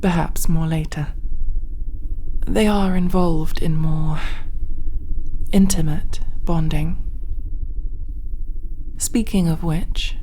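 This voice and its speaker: A woman playing a formal, curious, earnest alien crewmate in an intimate sci-fi ASMR roleplay, with precise, literal wording and a soft, breathy voice often close to a whisper. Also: on the low side, a little hiss or hum.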